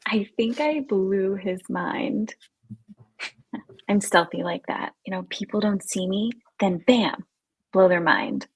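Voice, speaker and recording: A playful young camp counselor, voiced by a woman at a live script read. She speaks slowly with a husky edge, recorded dry and close. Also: mid-range voice, no room sound. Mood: playful, pleased.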